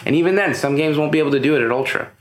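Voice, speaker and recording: A man's voice: on the high side, rapid-fire, roomy sound, slight background noise. A man being interviewed as a guest, explaining at length in a loose, conversational way with many fillers. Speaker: interview guest, business owner. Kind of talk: casual interview chat. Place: room with audible echo, recorded in person.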